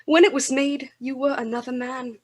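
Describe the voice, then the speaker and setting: An actress playing a sorrowful, gentle young Victorian woman in a radio drama. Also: sits high, fairly even intonation, moderate pace. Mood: grave, hurt. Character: Belle, sorrowful gentle young woman releasing her betrothed.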